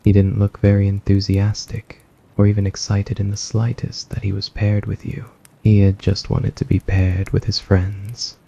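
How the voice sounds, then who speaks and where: A man reading a scripted story in a soft ASMR narration style. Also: on the low side, completely flat delivery, moderate pace, gravelly, slightly rough, muffled recording.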